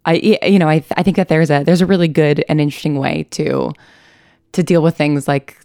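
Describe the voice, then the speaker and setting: Woman, fairly low pitch, dry close sound, casual interview talk, informal, chatty. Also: steady tone, a little fast, mellow, no echo. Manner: informal, chatty, laughs lightly while talking. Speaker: writer and co-producer being interviewed.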